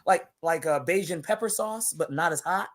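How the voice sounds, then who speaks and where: A man's voice: fairly high pitch, normal speed. A man from Barbados, a wrestler guest on a podcast, talking casually and telling stories with lots of laughter and repeated words. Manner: animated storytelling, laughing often, repeats words.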